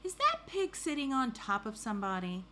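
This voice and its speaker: A woman's voice: a little high, wildly swooping pitch, normal speed, bright, forceful tone, slight background noise, voice pushed up, heavily acted. A woman voicing an earnest, formal policeman who questions and muses aloud in a storybook. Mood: puzzled, musing.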